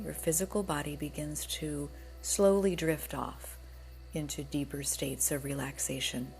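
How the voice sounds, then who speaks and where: A woman guiding a relaxation meditation in a gentle, soothing, unhurried manner, with soft breathy moments. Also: deep voice. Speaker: yoga nidra guide.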